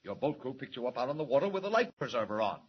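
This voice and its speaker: An actor with an American accent playing a brusque, sarcastic, impatient newspaper editor in a 1940s radio drama. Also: male voice, medium pitch, sing-song, racing, breathy edge. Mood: brisk, businesslike. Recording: muffled, noisy 1940s radio recording.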